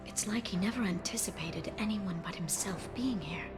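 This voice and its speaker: An actress playing an earnest, soft-spoken, determined young alien woman in a video game, with halting, emotional delivery. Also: mid-range voice, close to an everyday voice. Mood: grieving, relieved. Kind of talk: video game cutscene acting. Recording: loud music behind the voice.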